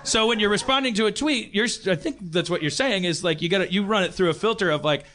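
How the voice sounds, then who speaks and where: A man hosting a comedic talk podcast in a rambling, halting way, often stammering and repeating words. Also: high-pitched. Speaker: podcast host.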